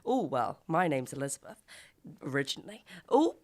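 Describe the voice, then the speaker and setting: A woman in her twenties with a croaky voice, chatting and telling stories on a podcast. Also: low-pitched, big pitch swings, moderate pace, a little mellow. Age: 20s. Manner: chatty storyteller, laughs a lot, acts out quotes. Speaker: podcast co-host. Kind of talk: casual chat.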